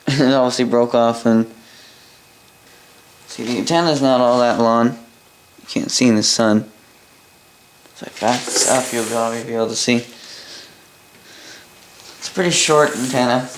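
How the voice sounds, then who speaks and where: Offhand Canadian man in an echoey room. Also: medium pitch. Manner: halting, offhand, thinking aloud. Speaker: hobbyist presenter demonstrating a vintage boombox. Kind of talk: casual unscripted demonstration. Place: indoor room at a workbench by a window. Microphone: camera mic a short distance away. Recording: roomy, with noise and clicks.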